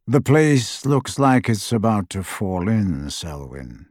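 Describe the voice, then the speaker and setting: A man voicing a cool, terse dark-wizard henchman in an audiobook, speaking in a sharp, low-key way. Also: very animated, slow, no echo, clean studio sound, exaggerated voice. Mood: cool, unimpressed. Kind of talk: audiobook character voice.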